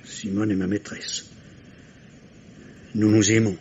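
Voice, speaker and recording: An impatient French surgeon husband, muffled recording. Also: male voice, fairly low pitch, little pitch movement, slow pace, a little mellow. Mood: weary, cold. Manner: crisp, formal, exasperated. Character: impatient, cool, ambitious middle-aged surgeon husband. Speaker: radio drama actor. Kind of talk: 1950s French radio drama acting. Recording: dull, noisy old radio recording.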